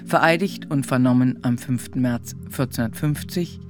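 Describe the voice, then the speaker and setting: A man's voice: medium pitch, normal speed, lightly acted. A man playing a court clerk in a radio play, reading out records in a factual, official manner. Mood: official, neutral. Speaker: actor in a radio drama. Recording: music under the voice.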